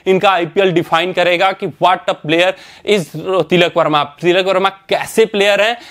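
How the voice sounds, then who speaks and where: A young man with a high voice talks through a cricket team lineup in front of a screen. He explains step by step, pausing on fillers and correcting himself as he goes. The sound is from an indoor studio. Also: fairly even intonation, fairly bright tone. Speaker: cricket news presenter. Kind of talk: cricket analysis talk to camera.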